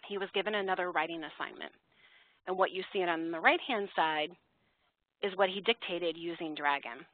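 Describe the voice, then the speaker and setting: Low-voiced woman presenting over a phone line. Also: dry recording. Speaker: software company webinar presenter. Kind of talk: slide presentation to educators. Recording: narrow-band phone line, dry.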